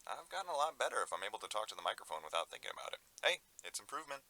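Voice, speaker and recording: An actor playing a troubled, confused man recording a private audio diary, halting and muttering to himself. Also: lowish voice, sing-song, pushed and bright, full character voice. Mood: relieved, casual. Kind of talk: audio drama monologue.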